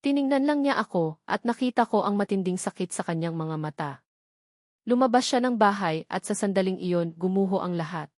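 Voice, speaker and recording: A woman's voice: medium pitch, expressive, a little fast, darkish tone, no room sound, clean studio sound. A woman reading a scripted dramatic love story as a narrator.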